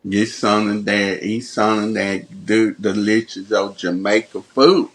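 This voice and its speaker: A man hosting a podcast, talking in a slow, laid-back way with short reactions. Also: lowish voice, fairly even intonation, slightly rough. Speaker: podcast host. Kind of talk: casual conversation.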